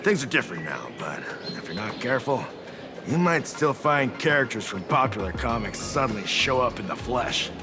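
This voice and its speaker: A man's voice: medium pitch, sing-song, moderate pace. An actor voicing a chatty, pedantic local man in a video game, explaining things hesitantly. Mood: explanatory, easygoing. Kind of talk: video game voice acting, exposition. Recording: game music underneath.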